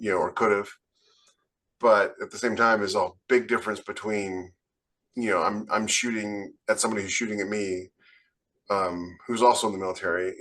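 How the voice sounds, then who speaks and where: A man with a fairly low voice talks as a podcast co-host. His delivery is casual and conversational, rambling along in an animated storytelling way. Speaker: podcast co-host, military science fiction author. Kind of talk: casual panel chat.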